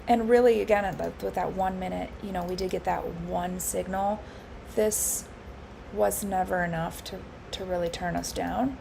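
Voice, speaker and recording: A woman live-streaming her futures trading, congested and hoarse from allergies, thinking aloud with lots of fillers and restarts. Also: fairly low pitch, steady tone, moderate pace, background noise. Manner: musing, with frequent fillers and restarts.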